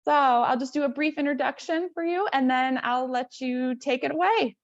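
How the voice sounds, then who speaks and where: A woman's voice: high voice, normal speed, dry recording. A woman hosting an online webinar, giving a prepared introduction that is partly read aloud over a video call. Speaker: webinar host introducing a speaker. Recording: slightly dull video-call audio.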